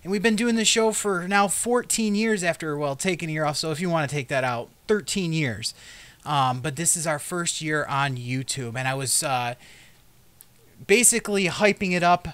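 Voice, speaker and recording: A man hosting a live talk show, chatty, excitable and self-deprecating, a little nervous. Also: fairly high pitch, normal speed, smooth, no room sound. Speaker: host.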